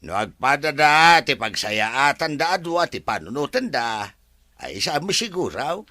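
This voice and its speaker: Cautious loyal helper in ilocano radio drama, sharp lively voice, very dry sound. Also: male voice, medium pitch, measured pace, no room sound. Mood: advising. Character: cautious trusted male aide to a madam.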